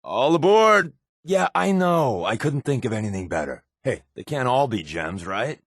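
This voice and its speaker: A man's voice: medium pitch, sing-song, moderate pace, husky, no room sound, studio quality. A man playing a wry, laid-back spy companion in a post-apocalyptic video game, given to sardonic asides. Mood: playful.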